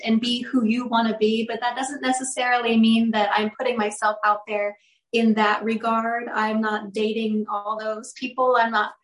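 A woman's voice: fairly high pitch, little pitch movement, normal speed. A young woman, a guest on an interview show, chatting casually in an upbeat way and telling stories. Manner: upbeat, laughs easily, storytelling. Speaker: guest, actress and model. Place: room with audible airplane noise outside. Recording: echoey room.

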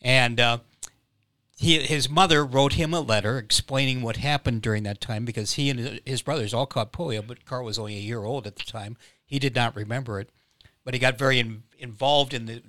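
A man, a playwright guest, recounting a story in a measured way with small fillers. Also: mid-range voice, sharp tone, no echo. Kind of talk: storytelling in interview.

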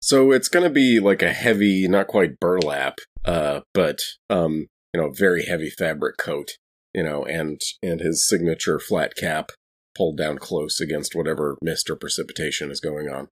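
Male role-playing gamer, very low pitch, casual conversational table talk. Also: normal speed. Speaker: player in a tabletop game. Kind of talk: casual game-table chat.

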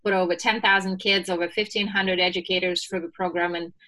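A woman from Russia with a Russian accent, telling her story as a podcast guest with lively energy. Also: fairly low pitch, fairly quick, low-quality recording. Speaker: guest, business founder. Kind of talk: podcast interview.

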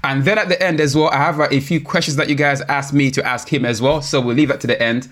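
A man's voice: medium pitch, fairly even intonation, quick pace, a bit bright. Chatty man hosting a casual interview. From Africa. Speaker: host of a car talk show.

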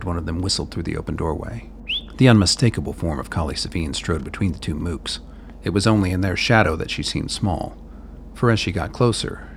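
A man's voice: deep voice, gravelly, a little hiss or hum. A man narrating a scripted radio play as its storyteller.

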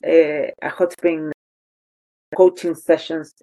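A woman's voice: low voice, level tone, slow, warm tone. A woman from Chile with a Chilean Spanish accent, a podcast guest talking casually and animatedly, often restarting sentences as she searches for words. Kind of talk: casual conversation.

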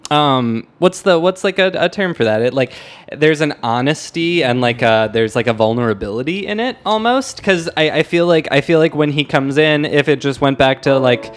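A man's voice: a little high, lively intonation, moderate pace. A man hosting a podcast in casual music discussion, sometimes humming bits of melody to make his point. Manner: hums and scats melodies to illustrate points. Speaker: podcast host.

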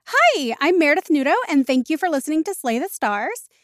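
Female guest actor, podcast outro, high bright-pitched voice, very dry recording. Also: big pitch swings, brisk, dry recording. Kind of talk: podcast sign-off, polite self-introduction.

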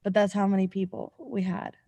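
A woman chatting casually as a podcast host, animated and giggly, with some casual swearing. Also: medium pitch, brisk, slightly rough, no echo. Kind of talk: casual chat.

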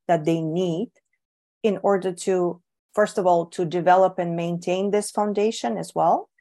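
Bulgarian woman on a podcast, kinda low voice, talks slow with lots of ums. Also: warm tone. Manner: thoughtful, with many ums and self-corrections. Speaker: guest. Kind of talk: relaxed podcast interview.

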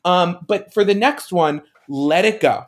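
A man's voice: sits high, a little slow. A man co-hosting a casual fan discussion podcast, talking in an opinionated, emphatic way. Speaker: podcast co-host.

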